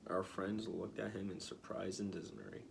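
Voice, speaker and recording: A man's voice: low-pitched, normal speed, creaky, background noise. A man giving a dramatic reading of a story as narrator.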